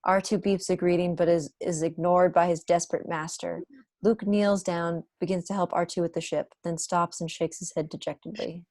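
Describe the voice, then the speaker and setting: A woman's voice: on the low side, little pitch movement, normal speed, darkish tone, no echo. A woman reading stage directions as scripted narration at an amateur online table read. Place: home room, on a video call.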